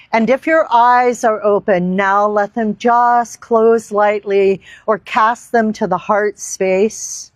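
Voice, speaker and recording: Woman yoga teacher, unhurried and reverent, dry close sound, guiding breath and meditation. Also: medium pitch, no echo. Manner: slow, deliberate, reverent phrasing with long pauses. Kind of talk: guided meditation and yoga instruction. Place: outdoor park.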